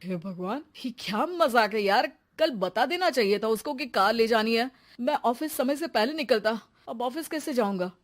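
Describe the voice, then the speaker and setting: A woman's voice: fairly high pitch, animated, moderate pace, hardly any pauses, voice pushed down, close to an everyday voice. A woman voicing an easygoing young office-working husband in a cartoon story, with a casual, chummy way of talking. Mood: annoyed. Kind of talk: animated cartoon story dialogue.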